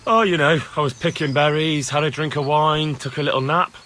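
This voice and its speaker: A man's voice: a little high, steady tone, a little fast, pushed and bright, soft background music. A man playing a cheeky young peasant squire in a video game cutscene, dryly mock-humble toward his betters. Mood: teasing. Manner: dry, sardonic deference.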